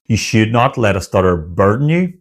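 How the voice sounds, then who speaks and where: Earnest low-voiced man encouraging viewers. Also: sing-song. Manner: earnest, encouraging, speaks in repeated list phrases with pauses. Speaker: online community founder and stuttering coach. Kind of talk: motivational talk to camera.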